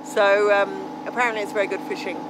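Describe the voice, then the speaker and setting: A woman's voice: mid-range voice, flat delivery, quick pace, background noise. A middle-aged woman chatting cheerfully to her phone camera on the deck of a sailboat, laughing often. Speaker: sailing vlogger. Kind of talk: casual vlog chat. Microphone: phone selfie at arm's length.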